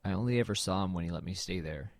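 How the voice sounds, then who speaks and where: A man's voice: low-pitched, fast, vocal fry. A man playing a brooding, melancholy artist who narrates an audio drama in a reflective, understated, slightly hesitant way. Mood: brooding, uneasy.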